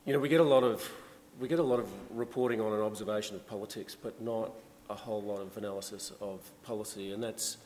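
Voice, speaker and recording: A man with a lowish voice talks through his views in a relaxed, rambling way. The sound carries a long hall reverb and faint noise behind it. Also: fast talker, few pauses. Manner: relaxed, conversational, frequent fillers. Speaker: journalist and essayist on panel. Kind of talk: panel discussion.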